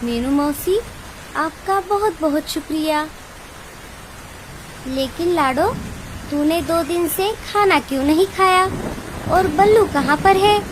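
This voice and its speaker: A concerned mother bird from a cartoon, acted by a woman, high-pitched and unhurried. Clear noise sits behind her questioning voice. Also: low-quality recording. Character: grown female cartoon birds, concerned mother and aunt. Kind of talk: cartoon dub acting.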